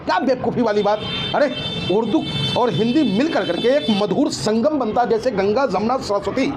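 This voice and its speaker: A man's voice: very high voice, animated, moderate pace, background noise. A man giving impassioned opinions as an interview guest, emphatic and indignant, full of rhetorical questions.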